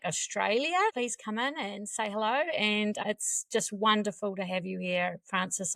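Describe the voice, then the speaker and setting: A woman's voice: medium pitch, no room sound. A woman hosting a podcast, introducing her guest and asking questions in a conversational way. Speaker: podcast host. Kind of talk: scripted introduction and conversational interviewing.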